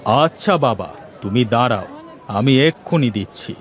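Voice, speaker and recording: A man's voice: mid-range voice, lively intonation, a little slow, a faint crowd, close to an everyday voice. A man voicing a friendly, slightly muddled older village shopkeeper in a cartoon.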